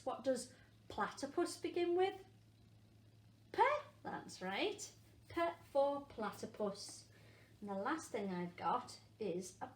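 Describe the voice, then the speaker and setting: A woman, a primary school teacher, leading an interactive phonics lesson for young children, encouraging them and asking questions with pauses for answers. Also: medium pitch. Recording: echoey room with faint background noise.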